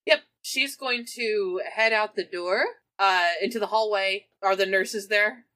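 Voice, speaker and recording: A woman's voice: mid-range voice, a little slow, pushed and bright. A woman playing a tabletop roleplaying game, casually narrating and speaking for her character.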